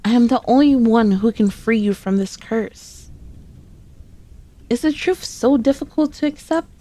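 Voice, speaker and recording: Woman acting a mellow, high-voiced sassy demon girl. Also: a little slow, lightly acted. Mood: haughty, insistent. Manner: haughty, teasing, old-fashioned formal phrasing. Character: sassy, sneaky, possessive centuries-old female demon. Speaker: ASMR roleplay voice performer. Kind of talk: ASMR roleplay, scripted character acting.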